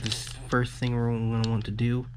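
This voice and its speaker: A man's voice: mid-range voice, little pitch movement, normal speed, background noise. A man giving a casual hands-on electronics tutorial, informal and muttering the odd aside as he works. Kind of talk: casual hands-on how-to narration.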